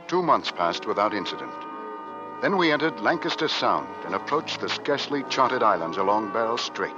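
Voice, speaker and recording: An actor playing a dutiful ship's navigator narrating an Arctic voyage in formal, dramatic old-time radio style. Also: male voice, medium pitch, close to an everyday voice. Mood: grave, foreboding. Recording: dull vintage radio recording with music.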